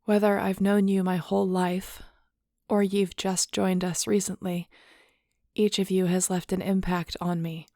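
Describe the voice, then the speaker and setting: A woman's voice: on the low side, flat delivery, unhurried, warm tone, dry recording, studio quality. A woman playing a nervous, affectionate young werewolf about to lead her clan, in an audio roleplay. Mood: solemn, heartfelt. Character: nervous young female werewolf shifter becoming clan Alpha, affectionate, teasing. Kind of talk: intimate audio roleplay acting.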